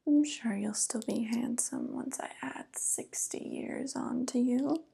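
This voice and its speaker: Evil witch, played by a young woman, vain and cooing, ASMR roleplay: mellow, extremely breathy, whispered close to mic. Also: on the high side, heavily acted. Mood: smug, sly. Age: young adult. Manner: slow, cooing, gently condescending. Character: evil youth-stealing witch, sly, vain, falsely tender. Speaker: ASMR performer. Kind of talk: soft-spoken ASMR roleplay. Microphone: close microphone.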